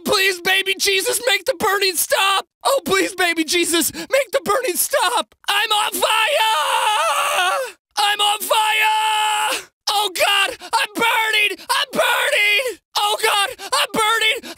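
A man's voice: very high voice, heavily acted. An actor voicing a jumpy, crude, sarcastic male bystander in a video game, with slangy, over-the-top delivery. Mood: screaming panic. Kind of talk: exaggerated game bark lines, each repeated.

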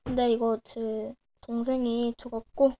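A young woman with a slightly high voice, hoarse from a cold, clearing her throat again and again. She chats casually and corrects herself as she talks about her crafts. A faint hiss sits under the home recording. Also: level tone, measured pace, warm tone. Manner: chatty, frequent throat clearing and self-corrections. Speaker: miniature crafts vlogger. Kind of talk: casual show-and-tell narration. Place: small indoor room at a desk. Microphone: camera over a desk, speaker off screen.

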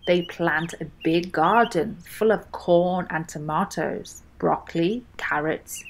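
A young woman reading a children's storybook aloud in an expressive style, with deliberate, emphatic phrasing. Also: on the low side, slight background noise. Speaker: children's book reader.

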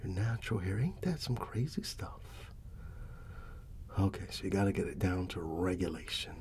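A middle-aged man doing a casual ASMR barber roleplay, playing an easygoing uncle in a soft, breathy, often whispered voice and pausing for unheard replies. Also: low voice, expressive, a little hiss or hum. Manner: unhurried, familiar and colloquial, with pauses for unheard replies. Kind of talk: ASMR roleplay, casual one-sided chat.

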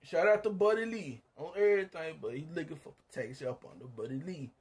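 Husky guy kinda high voice just rambling on, recounting stuff. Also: fast. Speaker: panelist in a live-stream chat. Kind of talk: casual chat.

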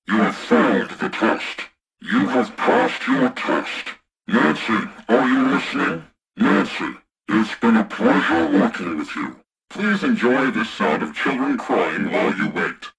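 A man voicing a sinister, mock-polite terrorist hotline announcer in a video game, husky and gravelly. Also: lowish voice, a bit bright, full character voice, rough recording. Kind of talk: parody phone menu announcement in a video game.

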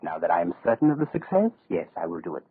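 A pompous scheming dictator, deep voice, muffled old radio horror show recording. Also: male voice, big pitch swings, quick pace, breathy edge, exaggerated voice. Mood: smug menace. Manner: pompous, menacing, soft-spoken oratory. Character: suave, power-hungry male dictator-in-waiting, grandiose schemer. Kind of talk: 1930s radio horror acting.

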